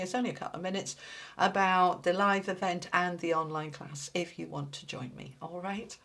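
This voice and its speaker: A woman's voice: fairly low pitch, fairly bright tone. A woman giving a casual tarot reading to her viewers, sounding congested and nasal, talking in a direct, blunt and encouraging way. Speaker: tarot reader.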